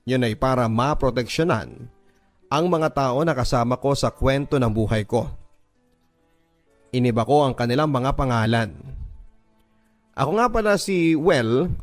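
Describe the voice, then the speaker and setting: A man reading a scripted first-person love story as narration for a radio drama. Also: mid-range voice.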